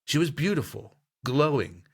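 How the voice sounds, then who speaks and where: A man's voice: fairly high pitch, sing-song, normal speed, breathy edge. A man co-hosting a podcast, wryly and dramatically narrating a vintage sci-fi story and joking with his co-host. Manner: wry, laughs easily, dramatic narration.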